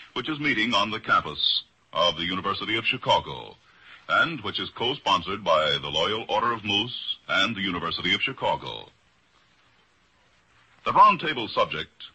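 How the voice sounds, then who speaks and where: An American man introducing a program in a formal, declamatory 1950s broadcast style, with a raspy voice. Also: on the low side, pushed and bright, poor audio. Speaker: radio program announcer.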